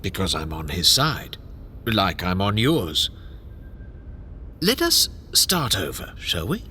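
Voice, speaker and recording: Low voiced courtly schemer, bright and flattering, audio drama. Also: male voice, slightly rough, exaggerated voice. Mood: earnest persuasion. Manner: unctuous, courteous, chuckling, deliberate. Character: smooth, scheming royal chamberlain, flattering courtly manipulator.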